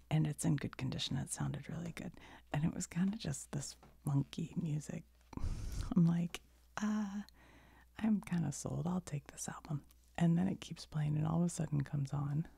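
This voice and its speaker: A middle-aged woman whispering softly, as in ASMR, her voice airy and raspy with a deep gravelly fry under it. The tone is dark and mellow, and she meanders from one aside to the next. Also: dry recording. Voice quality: soft unvoiced whisper, rambling asides. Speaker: video creator.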